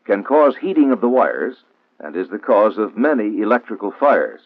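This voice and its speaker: A man's voice: fairly low pitch, sing-song, husky, no room sound. Band-limited 1960s film narrator, American man. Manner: formal, measured, didactic. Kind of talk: scripted instructional narration, 1960s training film. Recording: old 1960s film soundtrack, narrow phone-like band, dry.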